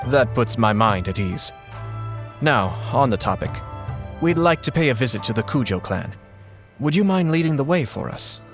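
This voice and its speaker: A man's voice: mid-range voice, sing-song, normal speed, soft background music. A man playing a composed, gracious young noble lord in a video game, speaking formally and politely. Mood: composed, courteous. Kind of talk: English game dub acting.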